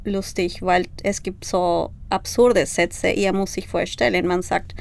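Peruvian woman with a smooth voice. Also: lowish voice, a little slow. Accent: Peruvian Spanish. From Peru. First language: Spanish. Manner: searching for words, animated. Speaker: Spanish teacher. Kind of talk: casual interview.

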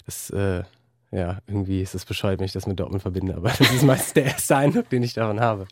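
A man's voice: lowish voice, fairly even intonation, quick pace, darkish tone, dry recording, clean studio sound. A young man, a musician, chatting as a guest in an interview, hesitant and full of fillers and restarts. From Germany. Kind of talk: casual interview chat.

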